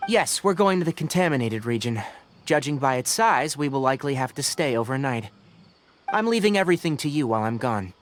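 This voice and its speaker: A man's voice: mid-range voice, animated, brisk, a little hiss or hum, lightly acted. A man voicing a youthful forest scholar and mentor in a video game, articulate, precise and matter-of-fact. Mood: businesslike.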